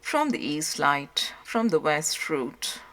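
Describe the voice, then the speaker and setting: Woman reading an audiobook, pretty deep voice, formal and measured literary narration. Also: sing-song, a bit bright.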